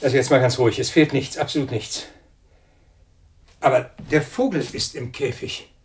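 A man acting as an uneasy scientist in a radio thriller. His medium-pitched voice is hesitant and easily agitated, with an irritable edge. Faint noise and clicks sit behind it. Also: normal speed, close to an everyday voice. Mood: puzzled, anxious. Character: uneasy, irritable male scientist living alone with budgie.

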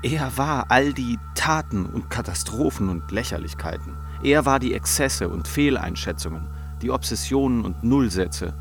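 A man's voice: mid-range voice, expressive, normal speed, creaky, hardly any pauses, soft background music. An actor playing a bipolar man who recounts his breakdowns in a radio play, bitter and self-lacerating, with emphatic literary delivery. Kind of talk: scripted radio play narration.

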